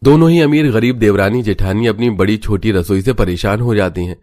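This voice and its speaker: A man's voice: fairly low pitch, brisk, darkish tone. A man reading scripted narration for a cartoon family story as its narrator.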